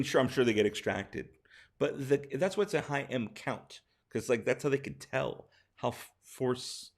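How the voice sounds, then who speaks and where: A man with a hoarse, tired voice, casually reading out viewer questions and answering them on a late-night live stream. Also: a little high.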